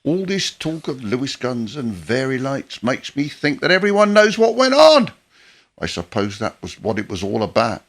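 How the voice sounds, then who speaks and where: A working-class old soldier in a radio play, with a swooping, animated delivery and a bright, forceful edge. He is a gruff but chirpy father who talks bluntly and likes to tease. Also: medium pitch, normal speed. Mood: bitter, weary. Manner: blunt, teasing, colloquial.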